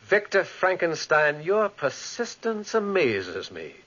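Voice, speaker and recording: A courteous, learned professor on a muffled old radio drama recording. His delivery is animated, urbane and drily bantering in a formal 1940s manner. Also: high-pitched, slow pace, exaggerated voice. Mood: wry, genial. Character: genial, learned university professor, courteous and wry.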